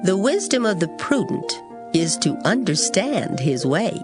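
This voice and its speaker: A woman giving a solemn, measured dramatized reading of scripture. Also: mid-range voice, big pitch swings. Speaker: scripture reader. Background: music.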